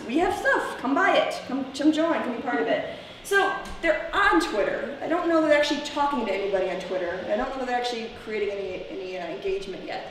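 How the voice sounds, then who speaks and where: A woman giving an informal conference presentation in a conversational way, checking in with her listeners through short tag questions. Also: fairly high pitch. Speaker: presenter at a camp leadership workshop. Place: indoor room, presenting to a live group. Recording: echoey room from far off, faint noise.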